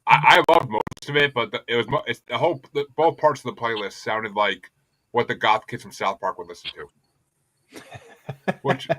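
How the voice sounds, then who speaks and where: Profane, animated man hosting a podcast. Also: mid-range voice, normal speed, no room sound, low-quality recording. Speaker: podcast host. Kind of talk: casual podcast chat.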